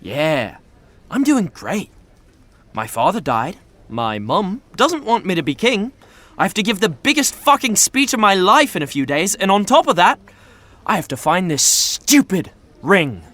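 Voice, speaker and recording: Stressed, sarcastic young prince, swooping delivery. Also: male voice, high-pitched, very animated, a little slow, bright, forceful tone, full character voice. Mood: exasperated. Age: young adult. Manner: dry sarcasm, stammers when flustered. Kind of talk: modern audio drama acting.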